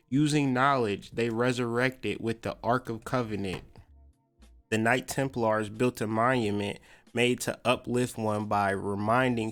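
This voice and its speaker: A man hosting a podcast, mixing casual slangy commentary with reading aloud and repeating phrases for emphasis. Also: fairly low pitch. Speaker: podcast host.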